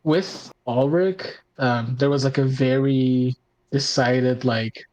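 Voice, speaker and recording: A man with a mid-pitched voice talks casually, as a podcast co-host among friends. His delivery is informal and halting, padded with "like" and the odd mild swear word. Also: fairly even intonation, darkish tone. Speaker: podcast co-host and tabletop player. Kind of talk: casual roundtable chat.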